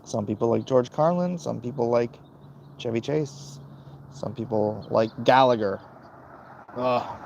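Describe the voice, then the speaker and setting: A man with a gravelly voice hosting a casual, opinionated livestream, answering chat in a blunt, dismissive way and muttering between thoughts. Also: mid-range voice, a little slow, long pauses, a little mellow, highs cut off, a little hiss or hum. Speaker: livestream host reading and answering chat comments.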